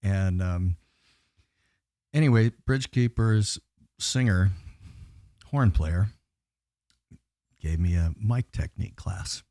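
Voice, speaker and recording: A man guesting on a bar podcast, telling rambling stories with a naturally low voice and many fillers. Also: takes it slowly, pauses often, mellow, dry recording, studio quality. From Pacific Northwest US. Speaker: guest singer. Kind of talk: casual podcast chat.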